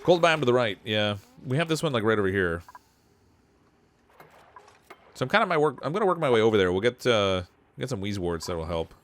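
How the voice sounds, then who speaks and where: A man streaming a game live, thinking aloud and answering chat, his voice still lower than usual from a cold, with frequent laughs. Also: big pitch swings, quick pace, a little hiss or hum. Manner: chatty, laughs often, thinks aloud with restarts. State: voice lower from getting over a cold. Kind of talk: live gameplay commentary.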